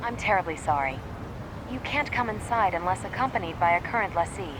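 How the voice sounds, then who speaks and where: A woman's voice: lowish voice, expressive, brisk, background noise, natural voice. Formal, unyielding receptionist played by a woman. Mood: polite, firm. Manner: formal, courteous, scripted-sounding. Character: polite but unyielding female front-desk receptionist. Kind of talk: English game dub acting.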